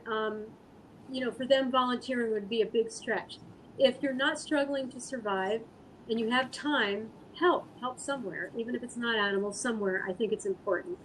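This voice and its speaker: A woman speaking as an interview guest, answering casually in an earnest, halting, thoughtful way. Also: fairly high pitch, fairly bright tone, slight background noise, low-quality recording. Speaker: guest artist and animal rescue volunteer.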